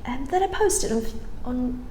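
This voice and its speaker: A woman's voice: high voice, lively intonation, unhurried, dark tone, slight background noise. Chatty young woman in an echoey room. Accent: Vietnamese-tinged English with British touches. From Vietnam. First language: Vietnamese. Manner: chatty, rambling, frequent ums and restarts, laughs easily. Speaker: English-learning YouTuber. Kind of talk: casual vlog-style lesson. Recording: echoey room with faint ambience.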